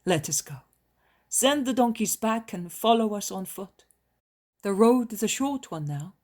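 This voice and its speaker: A woman reading the lines of a calm, commanding male holy healer in a solemn storybook voice. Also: mid-range voice, measured pace, voice pushed up.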